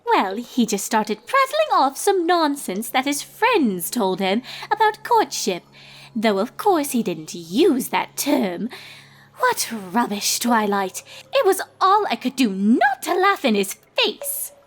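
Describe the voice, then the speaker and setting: A woman's voice: a little high, wildly swooping pitch, slow pace, a bit bright, full character voice. A woman voicing a refined, gossipy young female unicorn from a cartoon, talking in a wordy, theatrical, indignant way. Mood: scandalized, gossipy. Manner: dramatic, prim, indignant, wordy. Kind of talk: cartoon fan-fiction character reading.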